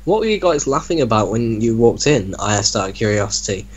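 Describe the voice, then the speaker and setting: A man's voice: fairly low pitch, sing-song, brisk, husky. Man reading a story aloud, bantering. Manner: breaks off to mock the text he reads. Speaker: reader in an online reaction group. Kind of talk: dramatic reading mixed with casual banter.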